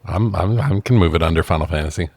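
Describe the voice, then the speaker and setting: Deep-voiced man in casual, dry-sounding chat. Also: quick pace, darkish tone, no room sound. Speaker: podcast co-host. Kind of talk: casual film review chat.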